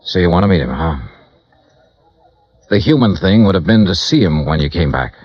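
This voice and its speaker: An American actor playing a weary, clipped insurance investigator in a 1950s radio noir drama, narrating his own case in a deadpan way. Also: sits low, moderate pace. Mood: bitter reproach. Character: hard-boiled, weary male insurance investigator. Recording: dull vintage radio recording, music behind.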